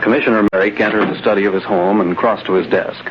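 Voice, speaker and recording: A man's voice: fairly low pitch, fairly even intonation, quick pace, loud music behind the voice. A man narrating a radio adventure serial in a dramatic, scripted mid-century style. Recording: muffled, clearly noisy vintage radio broadcast.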